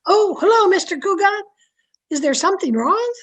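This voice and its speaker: A woman playing an anxious, soft-hearted housemaid in a 1940s-style radio comedy, fretful and sympathetic. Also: extremely high pitch, expressive, normal speed, a little hiss or hum. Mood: worried.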